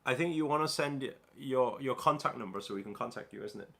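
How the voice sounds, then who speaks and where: A man's voice: mid-range voice, brisk, vocal fry, pushed and bright. A man from Newcastle hosting a casual livestream Q&A, reading viewer comments aloud and chatting loosely with plenty of fillers and restarts. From Newcastle, England. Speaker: livestream host.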